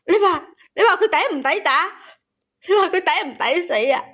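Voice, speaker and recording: A woman's voice: very high-pitched, lively intonation, normal speed, fairly bright tone. A woman calling in to tell her grievance in an agitated, indignant way, repeating words for emphasis. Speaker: caller telling a family grievance. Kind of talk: emotional call-in storytelling.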